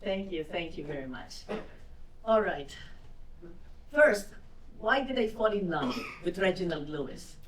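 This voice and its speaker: An older woman from the Philippines with a Filipino accent, telling her story in an animated way at a fireside chat. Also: on the low side, a little slow, long pauses, slight background noise. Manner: animated storytelling, acting out quoted remarks. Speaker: guest speaker. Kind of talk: fireside chat answering audience questions. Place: event hall. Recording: echoey hall from far off.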